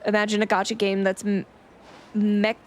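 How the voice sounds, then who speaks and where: A woman's voice: mid-range voice, monotone, a little fast, slight background noise. A woman streaming a game, casually recapping its story to viewers in a rambling, easily sidetracked and playful way.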